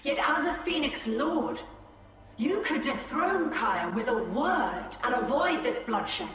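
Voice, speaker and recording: Proud alien seer lady in a game, highish voice, drenched in echo. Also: lively intonation, takes it slowly, a bit bright, heavily acted. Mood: grave, resolute. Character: proud, solemn female alien seer commander. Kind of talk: dramatic video game acting. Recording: long echo, distant, music behind.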